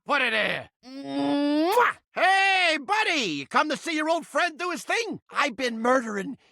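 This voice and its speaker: A man's voice: very high voice, pushed and bright, no room sound, studio quality, exaggerated voice. A man voicing a foul-mouthed, touchy, lovelorn mouse trap come to life in a video game, with brash, streetwise, slangy griping. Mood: chummy, boastful. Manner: brash streetwise slangy griping, swinging between insults and bashfulness. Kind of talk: cartoonish video game character acting.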